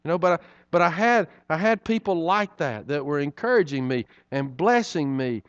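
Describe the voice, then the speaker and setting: A man's voice: on the high side, expressive, moderate pace, a bit bright, smooth, dry recording, dull audio. A middle-aged man, preaching a sermon in a folksy, conversational way with frequent asides to the congregation. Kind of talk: sermon storytelling.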